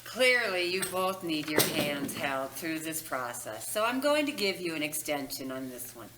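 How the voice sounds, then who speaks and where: A woman's voice: sits low, big pitch swings, moderate pace. A woman playing a weary, impatient purgatory office clerk, curt and condescending, at a comedy table read. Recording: noisy meeting room recording.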